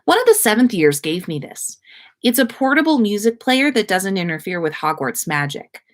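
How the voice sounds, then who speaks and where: A woman's voice: medium pitch, very animated, normal speed, voice pushed up, close to an everyday voice. A woman voicing a friendly, curious schoolgirl who speaks eagerly in a story read aloud. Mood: playful.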